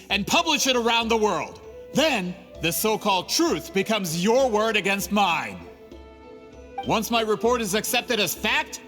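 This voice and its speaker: A man's voice: high-pitched, sharp tone. A man voicing a greedy, scheming male printing merchant in a game cutscene, smug and scornful. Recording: game audio with reverb and music.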